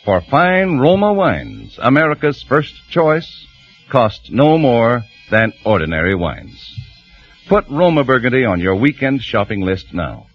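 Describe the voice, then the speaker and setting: A man announcing for a 1940s radio program, his husky voice moving in a lively, animated way through a polished, persuasive sponsor read. The old broadcast sounds muffled, with music faintly underneath. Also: medium pitch, unhurried.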